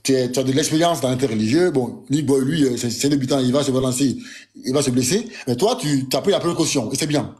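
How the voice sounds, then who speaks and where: A man speaking in an online debate, insistent, talking in rapid bursts and repeating phrases. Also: medium pitch, animated, darkish tone. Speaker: debate panelist.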